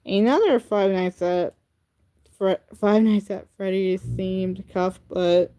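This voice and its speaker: Slow, rambling teen girl streamer. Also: medium pitch, warm tone, smooth. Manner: rambling, filler-heavy with many "like" and "um"; chatty and talks straight to viewers. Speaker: livestream host. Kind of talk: casual livestream chat showing handmade crafts.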